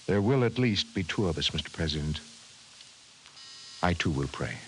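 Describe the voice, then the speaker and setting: An actor playing a grave, precise professor in 1950s radio drama style, formal and measured. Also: male voice, low-pitched, expressive. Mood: solemn. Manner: measured, formal, emphatic delivery. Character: grave, precise male scientist, a government professor. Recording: hissy 1950s radio recording.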